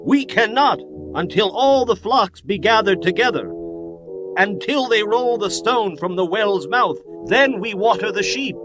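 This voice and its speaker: A man's voice: high-pitched, animated, a little slow, over music. A man voicing a matter-of-fact herdsman at a well in a dramatized scripture reading. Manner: plain, matter-of-fact, archaic diction.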